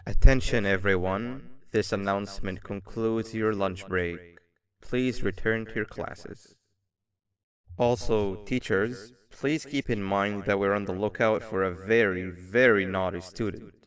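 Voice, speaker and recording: A man voicing a scheming, falsely friendly devil mascot principal in a video game, theatrical and smooth-talking. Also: fairly low pitch, steady tone, measured pace, close to an everyday voice. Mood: formal announcement.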